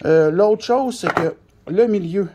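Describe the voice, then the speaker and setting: High-pitched man with a Québécois accent. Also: slow, noisy background. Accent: Québécois French. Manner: blunt, colloquial, mildly crude. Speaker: CB radio shop specialist presenting a product tutorial. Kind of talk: casual unscripted hands-on demonstration.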